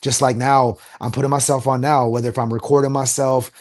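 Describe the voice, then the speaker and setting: Streetwise LA man, animated interview talk. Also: medium pitch, a little fast. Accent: Los Angeles. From USA. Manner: streetwise slang, animated, restarts. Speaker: guest rapper.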